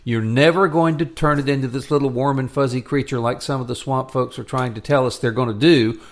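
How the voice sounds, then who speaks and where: A man's voice: medium pitch, steady tone, brisk. An American man hosting a political talk radio show in a conversational, emphatic and opinionated way.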